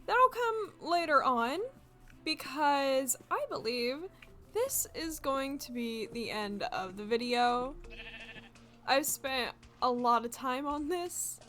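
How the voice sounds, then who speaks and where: A young woman with a congested voice, chatting casually and excitedly as she builds in a video game. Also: high-pitched. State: congested from allergies. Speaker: gaming video creator. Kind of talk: casual gameplay commentary. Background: soft game music.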